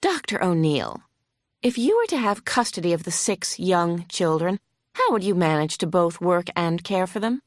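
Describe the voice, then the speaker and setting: A woman's voice: on the low side, big pitch swings, moderate pace, dry recording, studio quality. A woman voicing a huffy, sarcastic female lawyer cross-examining a witness in an audiobook. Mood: huffy, badgering.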